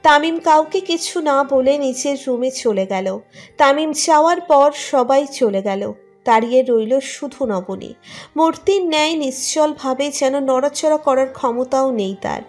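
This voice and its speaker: A woman's voice: high voice, measured pace. A woman reading a scripted romantic story as its narrator. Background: music under the narration.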